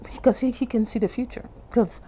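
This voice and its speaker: A woman from the Bahamas with a Bahamian accent, telling a church testimony in casual, animated talk and jumping high when she quotes people. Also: a little fast. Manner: animated, acts out quoted lines in a much higher voice; restarts and trails off often. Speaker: testimony vlogger.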